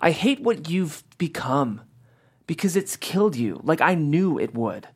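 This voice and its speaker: A man's voice: a little high, big pitch swings, unhurried, breathy edge, heavily acted. A man voicing a cold, harsh, rejecting older father in a dramatic table read, stern and clipped.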